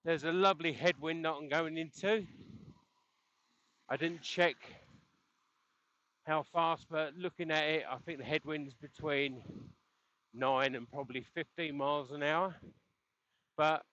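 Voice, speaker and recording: Man, slightly high voice, chatty cyclist talking through a dull headset mic on the road. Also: steady tone, a little slow, long pauses, pushed and bright. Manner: rambling, chatty, chuckles often. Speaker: cycling vlogger. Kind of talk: casual chat while riding. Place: outdoors on a bike on the road. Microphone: helmet intercom headset mic.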